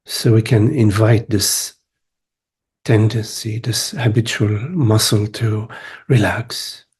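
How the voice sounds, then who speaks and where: A man leading a guided meditation as a teacher, speaking gently in short phrases with long pauses. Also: on the low side, fairly even intonation, husky. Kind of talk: guided meditation, spiritual talk.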